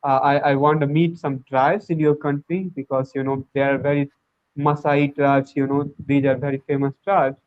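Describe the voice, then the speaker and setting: A man's voice: mid-range voice, flat delivery, moderate pace. Guy practicing english on a call, lots of um like you know, sounds thin and phone-y. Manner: hesitant, many fillers and restarts. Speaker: English learner. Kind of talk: casual video-call conversation. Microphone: video call. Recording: tinny phone-like line.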